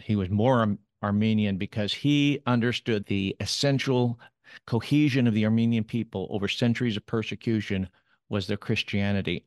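A man answering interview questions about his late father, speaking in a measured, thoughtful way with occasional pauses. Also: on the low side, no echo, studio quality. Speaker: interview guest, son of the subject.

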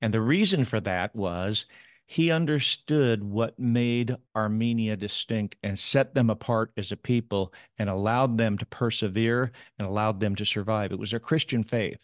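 A man answering interview questions about his late father, speaking in a measured, thoughtful way with occasional pauses. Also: lowish voice, no room sound, studio quality. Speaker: interview guest, son of the subject.

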